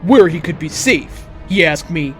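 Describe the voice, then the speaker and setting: A man reads fan fiction aloud in a dramatic narrating style. His voice sits somewhat high for a man. Music plays behind him. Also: lively intonation, a little slow. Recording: music under the voice.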